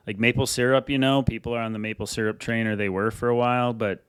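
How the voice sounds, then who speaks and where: A man's voice: medium pitch, steady tone, quick pace, a bit bright, clean tone, no echo. A man co-hosting a podcast in casual chat, joking and rambling. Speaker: podcast co-host.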